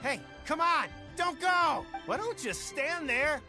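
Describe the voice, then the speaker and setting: An actor playing a pushy, smooth-talking gang boss in a video game, cajoling in slangy phrases. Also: male voice, very high voice, animated, takes it slowly, exaggerated voice. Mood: wheedling. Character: pushy, wheedling swindler and gang boss in debt. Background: game music.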